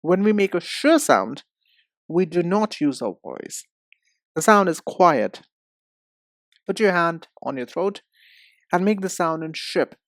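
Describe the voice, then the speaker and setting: A man teaching English pronunciation in a deliberate, instructive way, speaking directly to the learner. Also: fairly high pitch, very animated, no echo, studio quality. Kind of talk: instructional lesson.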